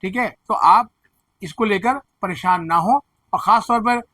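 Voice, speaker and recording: A man with a hoarse throat presenting education news, explaining admission figures and earnestly reassuring students and parents. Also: high voice, moderate pace, sharp tone, dry recording. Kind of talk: direct-to-camera advisory commentary.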